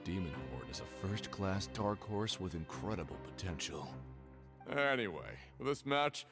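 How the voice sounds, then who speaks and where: Game ticket seller, lowish voice, swoopy showy patter, bit patronizing. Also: male voice, big pitch swings, normal speed. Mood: advising. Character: male fight ticket seller, brisk, slightly condescending hustler. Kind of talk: video game voice acting. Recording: game music behind.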